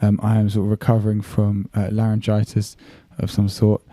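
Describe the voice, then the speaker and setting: A husky man introducing a podcast episode, his voice dark and very mellow, sitting fairly low. He talks in a measured, somewhat quiet way while recovering his voice. The sound is dry and close. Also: monotone, dry recording. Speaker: podcast host. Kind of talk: scripted show introduction.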